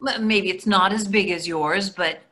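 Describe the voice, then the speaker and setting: A woman's portrayal of a long-married older wife in a stage comedy. Her voice is bright and crisp-edged at an average pitch. She is practical, dry and exasperated with her husband. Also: normal speed, slight background noise, close to an everyday voice. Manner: matter-of-fact, dry. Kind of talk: readers theatre play reading. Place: plain room indoors, video call.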